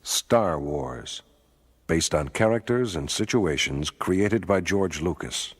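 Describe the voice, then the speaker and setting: A man's voice: expressive, slow, husky, exaggerated voice. A man as a solemn announcer introducing a 1980s radio drama, speaking in a formal title-announcement style. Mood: grave. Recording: radio drama intro, long booming reverb.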